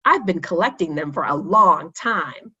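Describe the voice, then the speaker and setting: Woman reading as earnest, keen schoolgirl, animated. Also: lowish voice, a little slow, breathy edge, highs cut off, full character voice. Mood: proud, keen.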